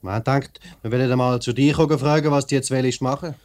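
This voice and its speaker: Man acting plain-spoken factory workmate, medium pitch, Swiss German accent, blunt, informal, vintage radio drama. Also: fairly even intonation, a little fast, darkish tone, close to an everyday voice. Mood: pleading. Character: plain-spoken male factory workmate pleading his cause. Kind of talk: mid-century radio play acting.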